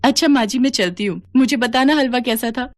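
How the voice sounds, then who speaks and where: Storybook heroine played by a woman: young villager, earnest and emotive, raised pitch. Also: brisk, natural voice. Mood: shy, sweet.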